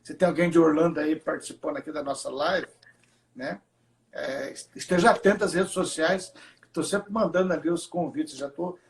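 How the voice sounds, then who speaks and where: A man's voice: fairly high pitch, normal speed. A man, a pastor joining a casual livestream as a guest over a video call, joking and teasing.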